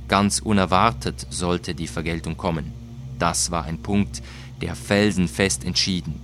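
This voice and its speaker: A man reading a literary first-person story in a measured, deliberate way with dramatic emphasis. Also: low-pitched, fairly bright tone. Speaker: audiobook reader.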